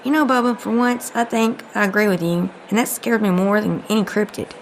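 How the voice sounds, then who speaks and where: A woman's voice: mid-range voice, steady tone, brisk, a little hiss or hum, lightly acted, rough recording. A woman playing a short-tempered, sardonic agent in a comedic audio drama, with a dry, scolding manner. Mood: sarcastic. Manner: dry, sarcastic, folksy scolding.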